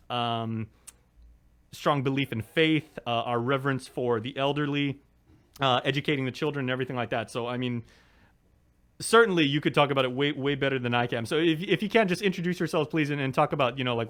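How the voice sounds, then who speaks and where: A man's voice: mid-range voice, fairly bright tone. A man from Guam hosting a live news interview, upbeat and joking, with many fillers and restarts. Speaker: news show host.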